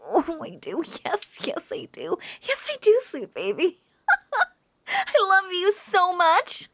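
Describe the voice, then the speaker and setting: A woman playing an obsessive, lovesick young witch who coos over a captive beloved, clingy and breathy with frequent whispers, in an intimate roleplay audio drama. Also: extremely high pitch, huge pitch swings, slow pace, sharp tone, dry recording, full character voice. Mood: desperate adoration. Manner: cooing, clingy, breathy, often dropping into whispers.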